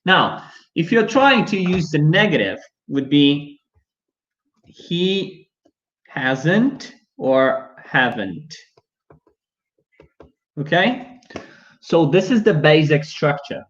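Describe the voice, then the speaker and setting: A man's voice: fairly high pitch, very animated, drawn-out words, pauses often. A young adult man with a Brazilian Portuguese accent teaching a live online English class, chatty and full of fillers. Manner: chatty, many fillers like "you know". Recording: dull lo-fi stream audio.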